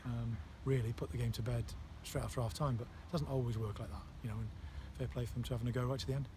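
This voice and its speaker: Man, 30s, football manager, flat delivery, halting speech, outdoor noise behind. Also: mid-range voice. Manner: halting, many fillers and restarts. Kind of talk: post-match interview. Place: outdoors on a floodlit football pitch at night.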